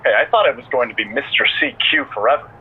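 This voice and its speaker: A man's voice: medium pitch, quick pace, slightly rough, phone-quality sound. An actor playing a goofy, boastful male podcast co-host in a video game, joking and teasing, with band-limited sound. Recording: thin band-limited sound, faint noise.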